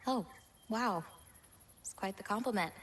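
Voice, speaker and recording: A woman playing a gentle, self-reliant teenage giantess in a video game, speaking in a natural, expressive, conversational way. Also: medium pitch, measured pace, husky. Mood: modest, sincere.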